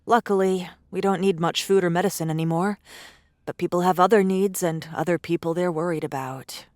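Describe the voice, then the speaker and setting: A woman's voice: fairly low pitch, steady tone, brisk, a little mellow, dry recording, studio quality, lightly acted. A woman playing a bitter, guarded survivor in an audiobook drama, with a blunt, wary, world-weary delivery. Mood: tired, matter-of-fact.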